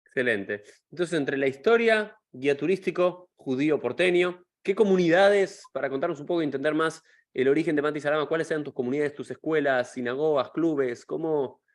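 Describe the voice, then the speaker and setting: A man's voice: on the high side, a little fast. A man hosting an interview podcast, asking long, stacked, rambling questions. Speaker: podcast host.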